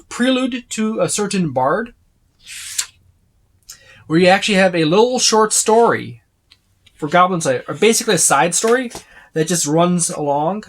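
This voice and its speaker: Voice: medium pitch, slight background noise. A video host giving a casual, unscripted overview in a rambling, explanatory way with informal asides. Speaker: host of tabletop gaming video channel.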